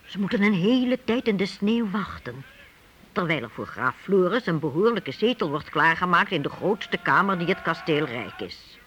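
High, animated male radio-play narrator. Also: moderate pace, highs cut off. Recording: faintly noisy old radio recording.

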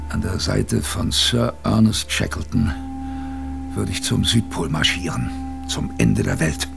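An actor playing an old seafarer in a radio drama, colloquially reminiscing about his youth in a gravelly voice. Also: low-pitched, music in the background, exaggerated voice. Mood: fond reminiscing. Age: older. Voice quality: gravelly, creaky. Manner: colloquial, unhurried raconteur.

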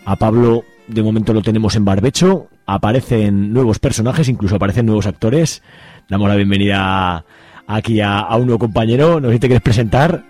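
A man's voice: fairly low pitch, a little fast, darkish tone, no echo, soft background music. A man from hosting a radio soap in an informal presenting style. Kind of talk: informal show outro.

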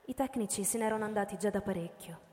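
A woman's voice: mid-range voice, quick pace, a little mellow. A woman narrating a scripted story dramatically, as the narrator of a radio drama. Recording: reverberant hall with music behind. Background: music.

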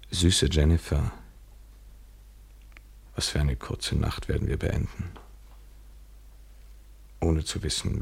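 An actor playing a coolly courteous, domineering and evasive lover in a 1970s German radio drama, with measured, deliberate phrasing. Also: male voice, on the low side, slightly rough. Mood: mocking, wistful.

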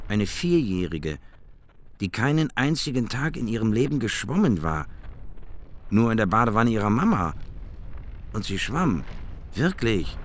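A man's voice: mid-range voice, a little hiss or hum. An actor playing a shocked man recounting a desperate rescue, as first-person testimony in a radio drama.

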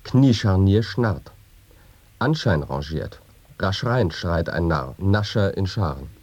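A man's voice: low-pitched, sing-song, slow pace, darkish tone, breathy edge, lo-fi sound. A man reading experimental sound poetry in a radio play, with terse, clipped interjections.

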